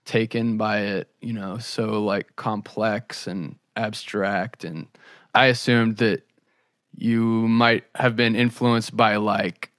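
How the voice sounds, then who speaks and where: Low-voiced man interviewing casually. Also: flat delivery, slow, a bit bright, no room sound, studio quality. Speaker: podcast host, interviewer.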